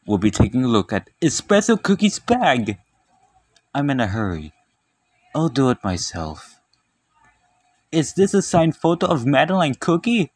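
An excitable little cartoon cookie performed by a man in an amateur comic dub. The delivery is theatrical and very animated, with a husky edge and a close, dry sound. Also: fairly high pitch, dry recording, exaggerated voice. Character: excitable little cookie snooping through a bag.

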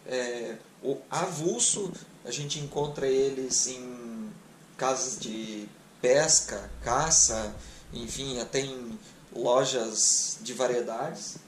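A man's voice: mid-range voice, slow pace, reverberant, slight background noise, poor audio. A man in his thirties from Brazil giving a frank, casual product review beside his car, chatting with many fillers. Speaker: travel vlogger. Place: garage beside an open car trunk.

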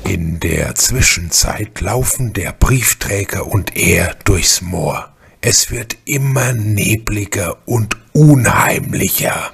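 An actor as the narrator of a German radio crime drama, speaking in an ominous, suspenseful way. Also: male voice, fairly low pitch, expressive, slow pace, creaky, slightly rough, heavily acted. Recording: radio play narration over music. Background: music.

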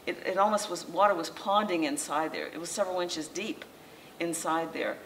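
An older woman giving an informal slideshow lecture in a library meeting room, talking in a conversational, halting way with frequent restarts. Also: fairly low pitch, creaky. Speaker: local historical society president giving a lecture. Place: library meeting room, indoors, small audience. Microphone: far camera, masked speaker. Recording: distant camera mic with faint room noise.

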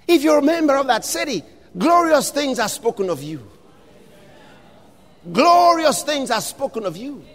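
Man, preacher, impassioned sermon, very high voice, lively swooping pitch. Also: in a large hall. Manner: impassioned, shouting scripture with long drawn-out phrases.

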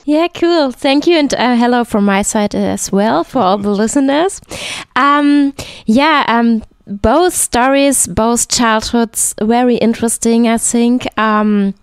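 A woman co-hosts a podcast and asks her guests questions in a measured, polite way. Her voice is a little high and smooth, recorded dry and close. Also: no echo. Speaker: podcast co-host. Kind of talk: casual interview talk.

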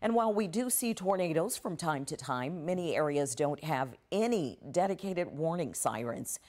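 A woman's voice: sits low, animated, normal speed, darkish tone. A woman in middle age reading a scripted news introduction as an anchor in a studio.

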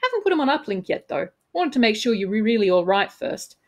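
A woman voicing a cheerful, cheeky spaceship rescuer of about thirty, warm and breezy, in a story reading. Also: on the high side, sing-song, fast talker.

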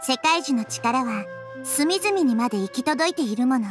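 A woman playing a gentle, wise child-like nature deity in an anime game, speaking softly and thoughtfully. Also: high voice, expressive, moderate pace. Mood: calm, pondering. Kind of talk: Japanese anime game voice acting. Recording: background music under the voice.

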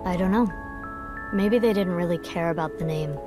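A woman voicing a composed young female agent in a video game, speaking in a calm, matter-of-fact way. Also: on the low side, moderate pace, close to an everyday voice. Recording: game audio with background music.

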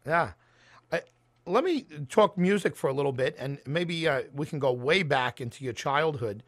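A man hosting a radio talk show, interviewing conversationally and feeling his way haltingly into each question. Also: on the high side, lively intonation.

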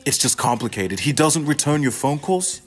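Man acting as frustrated male playwright, sardonic, profane, confessional, emphatic, radio play monologue, very animated pitch. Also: normal speed, slightly rough. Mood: exasperated, ranting. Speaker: student actor.

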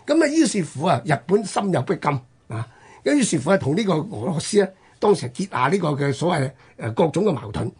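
A man with a Hong Kong Cantonese accent hosts a history talk. His voice is very rough and husky, and his pitch moves widely as he stresses points and stretches his phrase endings like a storyteller. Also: big pitch swings, darkish tone. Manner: emphatic storyteller with drawn-out phrase-ending particles. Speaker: radio host. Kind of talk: conversational history storytelling on radio.

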